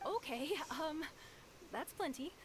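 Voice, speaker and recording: A woman's voice: high-pitched, animated, takes it slowly, crowd noise. A woman voicing a polite, hesitant, easily awestruck young female tournament clerk in a video game.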